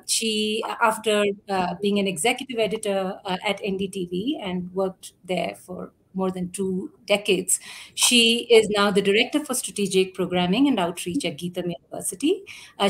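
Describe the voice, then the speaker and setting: A woman in her forties opens an online talk as moderator, reading a formal introduction. Her pitch sits in the middle range, and she pads her phrases with frequent uh and um. She speaks into a laptop mic on a video call. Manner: many fillers, uh and um, between phrases. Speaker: event moderator introducing the session.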